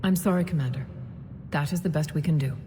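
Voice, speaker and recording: A woman's voice: quick pace, dark tone, close to an everyday voice. An actress playing a composed, grave female alien councilor in a game, speaking formally and diplomatically. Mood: grave, regretful. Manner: formal, solemn. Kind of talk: dramatic game dialogue. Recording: reverberant chamber, faint rumbling noise.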